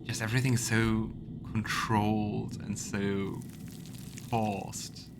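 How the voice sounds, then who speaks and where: Guy doing a casual let's play, kinda low voice, bit of game noise behind him. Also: flat delivery, slow. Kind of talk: casual game commentary, reading dialogue choices aloud.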